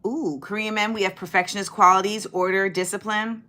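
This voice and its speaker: A woman's voice: medium pitch, fairly quick. A woman in her forties hosting a casual livestream, chatty and sassy, talking straight to viewers. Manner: chatty, sassy, animated, reads and answers viewer comments. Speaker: livestream host.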